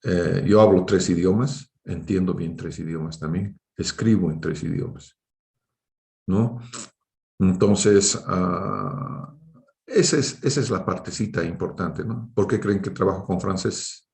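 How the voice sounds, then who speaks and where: A low-pitched man speaks as a university lecturer, his voice gravelly with fry. He explains informally and didactically, often closing statements with little tag questions. The sound is roomy and echoing, as in an online class. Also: measured pace, a little mellow.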